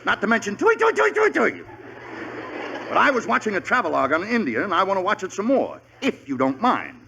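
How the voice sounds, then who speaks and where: An actor playing an excitable, easily exasperated middle-aged American father in a 1940s radio sitcom. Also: sits high, fairly quick, vocal fry, full character voice. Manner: blustery, exclamatory. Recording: muffled noisy old radio recording.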